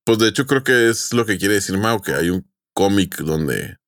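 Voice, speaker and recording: A man's voice: on the low side, animated, moderate pace, fairly bright tone, dry recording, studio quality. A man with a Mexican accent, a podcast host telling stories to friends in a jokey, colloquial way. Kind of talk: casual podcast storytelling with friends.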